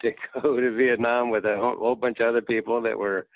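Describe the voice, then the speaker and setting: A man's voice: medium pitch, level tone, fast talker, dry recording. A man in his sixties tells his story plainly and easily, heard over a thin, tinny phone line. The sound is dry, with no room around it. He talks like someone at ease recalling his career. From United States. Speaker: guest. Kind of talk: casual interview talk.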